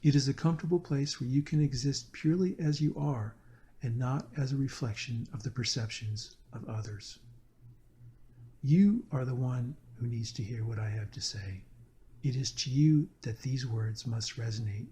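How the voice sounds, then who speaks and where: A man guides a meditation from a prepared script. His voice is mellow and relaxed, sitting at a medium pitch. He speaks deliberately and earnestly, phrase by phrase.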